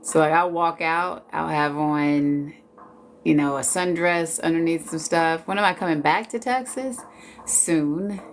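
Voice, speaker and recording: A woman in her late forties chats with her viewers in an easygoing, joking way. She talks slowly, in a slightly low voice. A faint hum of noise sits behind her. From Washington D.C. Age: 40s. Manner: chatty, laid-back, joking. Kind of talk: casual chat with viewers.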